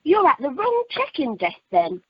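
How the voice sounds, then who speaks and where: A woman's voice: sits high, huge pitch swings, normal speed, dry recording. A woman playing a chirpy, dim, literal-minded airline check-in assistant in amateur radio comedy. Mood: helpful. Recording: muffled, dry telephone conference line.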